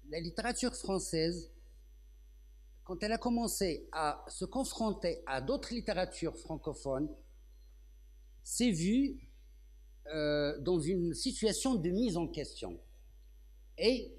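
A man's voice: a little high, darkish tone. A man in his sixties from Morocco, speaking as a translator on a round-table panel, thoughtful with many hesitations and restarts, on a handheld mic on an indoor festival stage. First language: Arabic. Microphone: handheld microphone.

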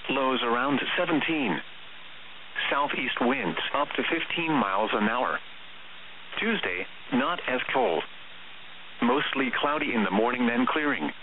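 Robotic guy doing a weather radio forecast, formal and measured, tinny with some hiss. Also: mid-range voice. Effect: weather radio broadcast. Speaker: automated weather radio announcer. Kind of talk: scripted weather forecast bulletin. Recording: hissy, narrowband radio reception.

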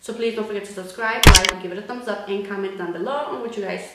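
A young woman in her twenties chats straight to camera in a lively, expressive way, now and then slipping Spanish words into her English. Her mid-pitched voice bounces around a bare, very echoey room, picked up by a camera mic at desk distance. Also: fast. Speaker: vlogger. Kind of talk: casual chat to camera.

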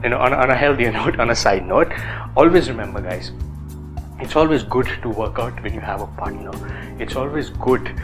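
Animated Indian man with music under. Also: medium pitch. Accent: Indian English. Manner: animated, gestures with both hands, many fillers. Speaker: fitness influencer. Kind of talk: casual talk to camera.

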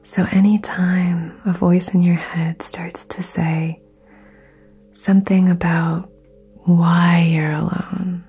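A woman whispering soothing affirmations in an ASMR session, soft and gentle. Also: fairly low pitch, level tone, slow pace, breathy edge, faint music behind. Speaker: ASMR host.

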